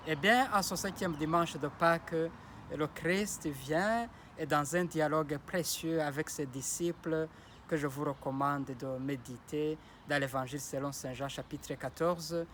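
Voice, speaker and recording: Priest, male, Kinyarwanda or Kirundi as first language. Solemn homily delivery at a very slow pace, slightly high voice. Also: a bit bright, a little hiss or hum. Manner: slow, solemn, repeats blessing phrases. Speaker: parish priest. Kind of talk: Sunday homily.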